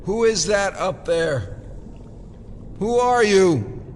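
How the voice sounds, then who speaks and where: Wary male investigator, slow, lively intonation, dry, exasperated, echoing reverb, noisy background. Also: sits high, full character voice. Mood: guarded, questioning. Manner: questioning, dry. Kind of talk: audio drama acting. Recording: long reverb, clear background noise.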